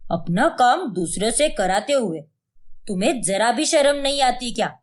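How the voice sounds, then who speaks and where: A woman acts out an indignant elder from a cartoon family drama. The voice sits somewhat high and swings widely, with a sharp-tongued, reproachful delivery. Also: expressive, moderate pace. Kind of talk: acted animated moral story.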